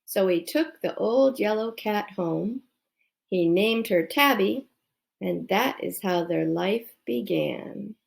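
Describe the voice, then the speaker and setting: A woman's voice: mid-range voice, animated, slow, gravelly. A woman reading a children's bedtime story aloud. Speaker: storybook reader.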